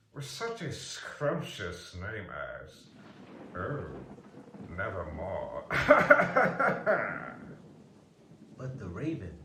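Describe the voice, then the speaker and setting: A man's voice: on the low side, sharp tone, reverberant, recorded from a distance, a little hiss or hum, poor audio. A man reciting dramatic poetry as an impressionist, in exaggerated, theatrical character voices.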